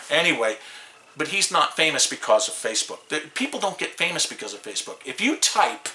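A man's voice: a little high, sing-song, fairly quick, bright, forceful tone, echoey room. A man in his fifties with a Chicago accent, vlogging to camera in a small room, rambling with many restarts and fillers. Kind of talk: casual talk to camera.

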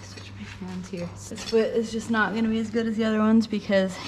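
A young woman giving a casual hands-on hoof trimming tutorial, thinking aloud in short, halting phrases as she works in a barn. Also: mid-range voice, fairly even intonation. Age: young adult. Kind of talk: casual hands-on demonstration talk. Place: indoor barn with concrete floor. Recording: clear rasping and clattering noise. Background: filing, scraping and clatter of tools.

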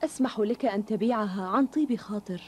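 A woman's voice: medium pitch, fast talker, a little mellow, slightly rough, natural voice. A woman playing a dutiful, firm young wife and mother in a cartoon dub, speaking in a formal, earnest way. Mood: caring, earnest.

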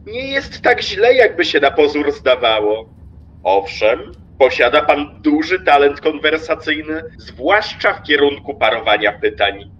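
An actor playing a smug, impertinent railway official in a radio play, talkative and mockingly sweet, with an unctuous drawl. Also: male voice, on the high side, sing-song, unhurried, a bit bright. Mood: smug, teasing. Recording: slightly dull with noticeable background noise.

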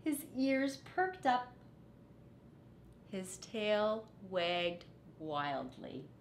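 A woman's voice: medium pitch, big pitch swings, drawn-out words, a little hiss or hum. A middle-aged woman reads a children's story aloud with dramatic, teacherly phrasing. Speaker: storybook reader. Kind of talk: expressive children's read-aloud. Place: living room, on a couch. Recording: echoey living room.

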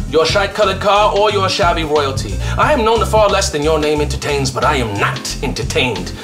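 A man's voice: fairly high pitch, voice pushed up. A man voicing a defiant, grandiose young poet with a put-on English accent, declaiming with authority. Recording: music behind the voice.

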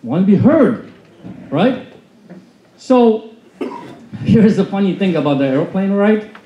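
A man in his forties, born in Poland and a native Polish speaker, telling his life story loudly and with energy to a seated audience in a conference hall, speaking into a handheld mic. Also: high-pitched, slow pace, a little hiss or hum. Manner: loud, animated storytelling. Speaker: motivational speaker. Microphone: handheld mic, filmed from the back of the room. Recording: echoey hall, dull sound.

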